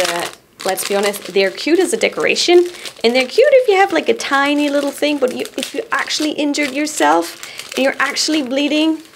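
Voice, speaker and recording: A young woman hosting a casual product review to camera in a small studio, bubbly and giggly, sometimes easing into a softer, relaxed tone. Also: fairly high pitch, vocal fry, a little hiss or hum. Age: young adult. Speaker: host presenting shop items. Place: small indoor studio room. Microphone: boom-arm studio mic in front.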